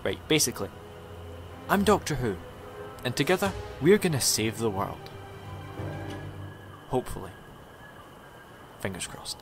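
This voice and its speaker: A man's voice: mid-range voice, sing-song, measured pace, pauses often. A man playing an eccentric, chatty time-travelling alien in an audio drama, with a rambling, quirky way of talking. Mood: eager, urgent. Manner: rambling, self-correcting, quirky. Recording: music under the voice.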